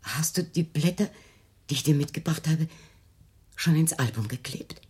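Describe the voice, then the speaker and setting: A woman's voice: deep voice, normal speed, darkish tone, lightly acted. An actress playing an anxious, protective middle-aged mother in a German radio drama, soothing and placating in a theatrical manner. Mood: reproachful, pleading. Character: anxious, protective, coaxing mother of a troubled daughter.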